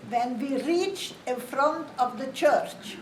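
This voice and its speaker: An older woman from Romania, giving a slow, plain, matter-of-fact spoken testimony to an audience. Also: sits high, animated. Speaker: Holocaust survivor speaker. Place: community hall. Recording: roomy, distant, faint hiss.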